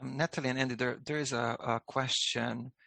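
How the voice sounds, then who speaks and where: A man with a Hungarian accent hosting an interview, speaking haltingly with many restarts as he thinks aloud. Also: mid-range voice, fairly even intonation, vocal fry, sharp tone, dry recording. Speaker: host.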